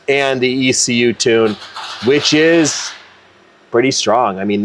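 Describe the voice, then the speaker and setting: Casual man explaining car tuning. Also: mid-range voice. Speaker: car tuner and video host.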